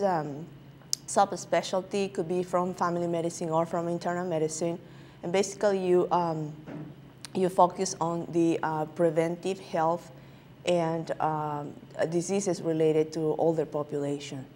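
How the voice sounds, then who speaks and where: A woman from Peru, answering interview questions as a guest physician in a halting way with many filler sounds. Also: on the low side, fairly even intonation, darkish tone, a little hiss or hum.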